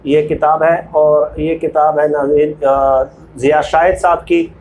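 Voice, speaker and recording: Pakistani guy doing political commentary, pretty high voice, emphatic, repeats himself to make points, bit of noise behind him. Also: little pitch movement, unhurried, a little mellow, poor audio. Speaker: political commentary video host. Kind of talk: informal political commentary, reading book excerpts.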